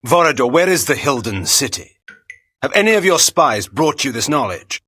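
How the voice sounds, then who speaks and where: An actor playing a proud, cunning vampire noble in a video game, with a theatrical, formal and imperious manner. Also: male voice, medium pitch, sing-song, moderate pace, sharp tone, breathy edge, full character voice. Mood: commanding, urgent. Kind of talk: dramatic video game cutscene acting.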